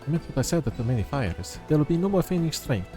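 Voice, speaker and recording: A man's voice: a little high, big pitch swings, fast talker. A man streaming a video game, reading its dialogue aloud and chatting casually, sometimes stumbling over the words. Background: game music.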